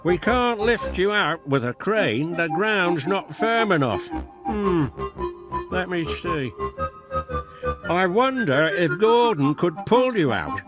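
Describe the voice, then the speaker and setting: A man's voice: high voice, big pitch swings, slow, music in the background, pitched above the performer's own voice, exaggerated voice. A man voicing a stern, portly male railway boss in a storybook, scolding and authoritative.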